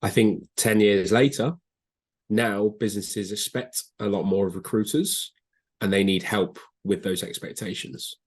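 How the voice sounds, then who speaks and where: A man's voice: lowish voice, a little slow, breathy edge. A man speaking as an expert guest, answering conversationally in a structured, explanatory way. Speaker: recruitment software expert, guest.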